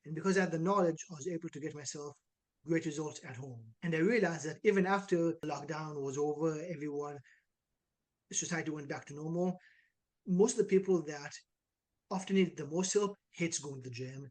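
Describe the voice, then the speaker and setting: A man's voice: a little high, a little fast. A man in his twenties from Israel, an online fitness coach talking casually and energetically in an interview. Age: 20s. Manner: energetic, uses many "like" and "right" tags.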